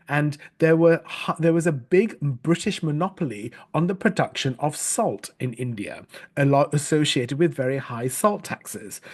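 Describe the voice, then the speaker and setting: A middle-aged man from Sri Lanka, giving a recorded training talk as a storytelling coach, earnest and measured, with frequent fillers and restarts. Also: on the high side, a little mellow. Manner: spells out words letter by letter.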